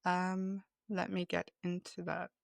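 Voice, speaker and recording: A woman hosts an interview in an unhurried, measured way, offering short encouraging replies. Her voice has a gravelly fry at an ordinary female pitch. The sound is dry and close. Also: monotone, dry recording. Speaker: podcast host. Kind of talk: conversational interview.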